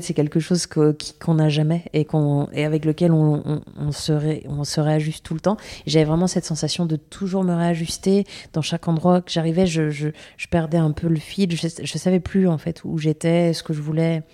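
A woman's voice: deep voice, fairly even intonation, a little fast, hardly any pauses, warm tone. A young woman from Brittany, a singer, answering interview questions with many hesitations and restarts.

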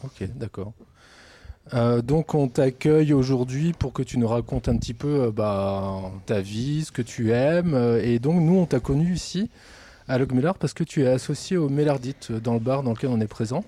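A man interviewing a guest in a casual talk show recorded in a village bar, asking questions in a relaxed, friendly way. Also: mid-range voice, moderate pace.

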